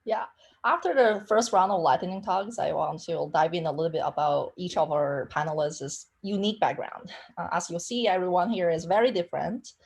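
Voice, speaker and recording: A woman in her thirties moderates a webinar panel, her voice husky and a little low. She keeps things friendly and brisk, introducing each speaker in simple words. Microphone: video call.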